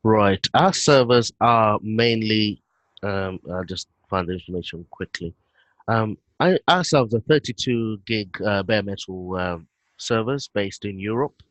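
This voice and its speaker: A British man on a video call, explaining his work in a relaxed way with frequent fillers. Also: fairly low pitch, unhurried, no room sound, poor audio. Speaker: guest, head of operations.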